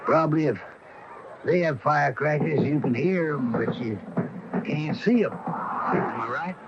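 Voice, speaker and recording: A man's voice: on the high side, unhurried. An actor playing a nosy, plain-spoken small-town tradesman with a folksy, musing manner in a radio mystery drama. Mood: musing, curious. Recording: dull noisy old AM radio tape.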